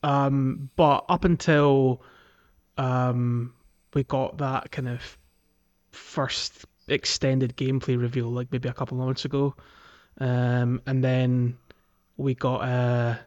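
A man co-hosting a podcast in casual chat, speaking in a hesitant, halting way. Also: medium pitch, little pitch movement, a little mellow. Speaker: podcast co-host. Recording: dull lo-fi microphone audio.

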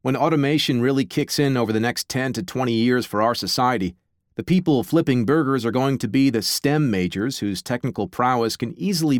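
A man's voice: mid-range voice, no room sound, clean studio sound. A man reading aloud from his own book on a podcast, with wry conversational asides.

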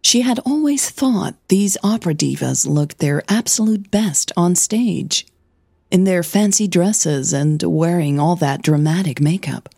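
A woman narrating scripted fiction in a measured, intimate storytelling manner. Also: fairly low pitch, creaky, dark tone, slightly rough, no echo, clean studio sound. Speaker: audio drama narrator.

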